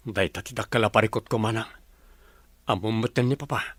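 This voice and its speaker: Earnest rich dad in an audio drama, gently persuading, no room echo. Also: male voice, fairly low pitch, steady tone, a little fast. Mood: matter-of-fact. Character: earnest wealthy father reuniting with his young child.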